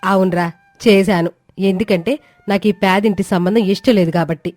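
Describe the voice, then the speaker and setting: A woman's voice: mid-range voice, steady tone, moderate pace, a little mellow, no room sound, natural voice. A woman playing a haughty, spiteful middle-aged mother-in-law, scornful and evasive, in a family drama. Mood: defiant scorn.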